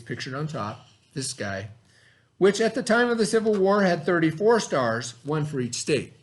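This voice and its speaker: A man reading a lesson aloud as a teacher, explaining as he goes and checking understanding with simple questions. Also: fairly high pitch.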